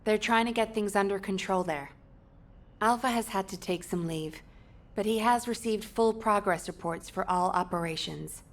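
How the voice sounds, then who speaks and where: A woman voicing a composed operations officer who briefs soldiers in a formal, measured way for a video game. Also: mid-range voice, natural voice. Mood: composed, serious. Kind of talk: scripted game dialogue, mission briefing.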